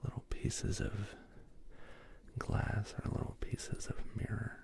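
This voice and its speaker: A man hosting a casual, rambling show-and-tell in a soft, gravelly ASMR whisper, with slangy asides. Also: takes it slowly. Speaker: host.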